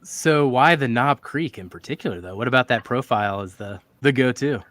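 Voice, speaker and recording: A man hosts a relaxed online whiskey show in an average-pitched voice. The sound is dry and close, and he keeps things upbeat and joking. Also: a little fast, no room sound. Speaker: show host. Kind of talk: casual podcast hosting.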